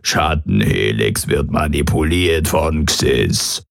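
A man playing a hostile alien commander in an audio drama, with guttural, belching speech in halting, broken phrases. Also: a bass voice, animated, no room sound, studio quality, full character voice. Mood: stern warning. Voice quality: guttural, gravelly, belching croak. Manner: halting, broken syntax, threatening. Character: hostile alien commander, croaking, menacing, slow-witted speech. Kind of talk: audio drama character acting.

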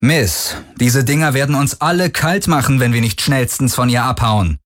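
A man's voice: mid-range voice, moderate pace, voice pushed up, natural voice. A man voicing a rugged, cunning boss in an audiobook, firm and commanding in manner. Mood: desperate, urgent. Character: rugged, cunning male boss, combative and urgent.

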